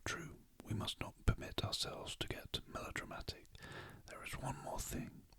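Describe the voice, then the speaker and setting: A narrator voicing a polite, probing male French magistrate from a detective storybook in a breathy, measured whisper. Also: bright, forceful tone, close to an everyday voice. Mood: lightly amused.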